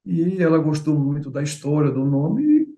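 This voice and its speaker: A man's voice: a little high, big pitch swings, normal speed, dark tone. A middle-aged man with a Bahian accent, a writer chatting as an interview guest, full of fillers and repeated words. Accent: Bahian Portuguese. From Bahia, Brazil. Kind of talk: casual interview chat. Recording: echoey room.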